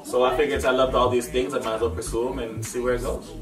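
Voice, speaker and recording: A young man from Miami, a guest on an interview show, talking in a relaxed way with lots of fillers. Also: mid-range voice, fast talker. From Miami, USA. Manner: relaxed, uses many fillers. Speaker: guest, aspiring model. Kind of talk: casual interview. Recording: echoey room, far from mic, music behind.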